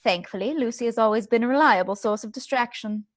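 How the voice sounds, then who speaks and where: A woman's voice: a little high, fast, dry recording, close to an everyday voice. An actress playing a sensible, dry-witted and studious young Victorian woman in a radio drama, prim and wry. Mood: composed.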